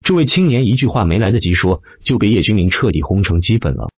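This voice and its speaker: Deep-voiced man narrating with sweeping intonation. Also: sing-song, fast, breathy edge, no room sound, dull audio. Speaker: audiobook narrator. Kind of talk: dramatic scripted narration of a fantasy web novel.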